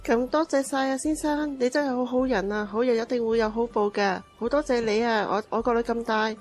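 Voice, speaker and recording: A woman's voice: fairly high pitch, steady tone, a little fast, faint music behind, close to an everyday voice. A woman playing a grateful, kindly mother of a young daughter in a Cantonese radio drama.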